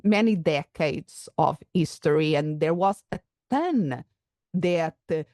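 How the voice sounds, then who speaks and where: A woman's voice: sits low, darkish tone, no echo, clean studio sound. A woman from Portugal with a Portuguese accent, a psychologist and coach explaining her views in a thoughtful conversational interview, with occasional restarts.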